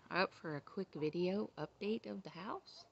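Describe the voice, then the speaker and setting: A woman giving a casual, excited, chatty video tour, recorded outdoors on a handheld phone. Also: fairly low pitch, normal speed, creaky, muffled recording, noisy background. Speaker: vlogger giving a house update. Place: outdoors in a rural yard and field. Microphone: handheld phone, behind the camera.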